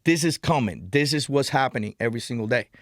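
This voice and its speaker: A middle-aged man from Cuba with a Cuban Spanish accent, telling stories in a podcast interview in an emphatic, animated way, repeating words and calling the host "man" and "brother.". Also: medium pitch, fast talker, no room sound, clean studio sound. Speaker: interview guest.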